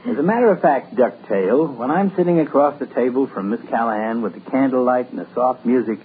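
An actor playing a genial, lovestruck bachelor town doctor in 1940s radio comedy style, jovial and dreamy. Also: male voice, mid-range voice, brisk, a little hiss or hum, lightly acted. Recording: tinny lo-fi old radio broadcast.

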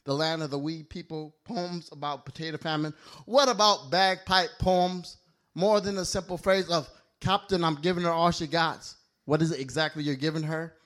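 A man performs a slam poem live on stage. His voice sits a bit high. He rolls through rhyming lists with a playful, bouncing rhythm. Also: normal speed, fairly bright tone. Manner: playful, rhyming, list-driven delivery. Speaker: slam poet. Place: live poetry slam venue.

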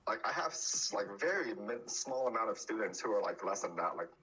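A man's voice: fairly low pitch, animated, fairly quick, pushed and bright, breathy edge, muffled recording. A man chatting casually as a podcast guest, often restarting his sentences. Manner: hesitant, many restarts and fillers.

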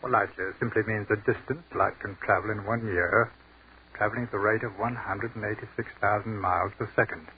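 An actor playing an educated army captain who speaks in a crisp, articulate and lyrical way, in a 1940s radio drama. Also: male voice, on the low side, steady tone, fairly quick, close to an everyday voice. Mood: measured. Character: educated male army captain, dreamy and commanding. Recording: tinny, hissy 1940s radio broadcast.

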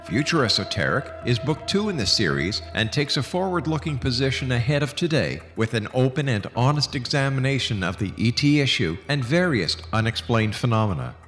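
A man's voice: medium pitch, sing-song, fairly quick, hardly any pauses, fairly bright tone, faint music behind. A man hosting a radio show, speaking in an emphatic, showman-like announcer style.

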